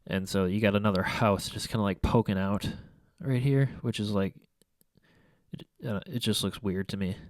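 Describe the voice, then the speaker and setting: A man's voice: lowish voice, steady tone, moderate pace, a little mellow, no echo, studio quality. A young man with a stuffy, congested nose, casually reviewing his photos in a rambling, hesitant way full of fillers and restarts. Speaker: photography vlogger. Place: small room at home by a window.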